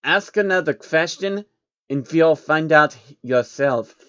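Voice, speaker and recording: A man's voice: on the high side, takes it slowly, fairly bright tone, clear, resonant tone, highs cut off, voice pushed up. A man voicing a menacing older male banker villain in a fan storybook reading, speaking with venom and threats. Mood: threatening.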